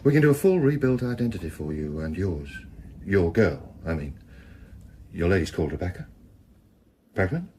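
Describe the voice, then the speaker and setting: Deep-voiced, dry police detective. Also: male voice, lively intonation, normal speed, pauses often, darkish tone, a little hiss or hum. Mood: calm, persuasive. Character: widowed male police detective, dry, calm, world-weary. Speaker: actor in a radio crime drama. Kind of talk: naturalistic radio drama acting.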